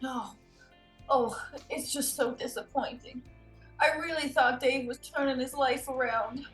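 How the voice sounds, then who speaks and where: A woman's voice: high voice, steady tone, unhurried, reverberant, faint music behind, low-quality recording. A woman playing a gossiping, concerned parent in an amateur script table read. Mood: disappointed. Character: gossiping concerned parent, disappointed in Dave Kaufman.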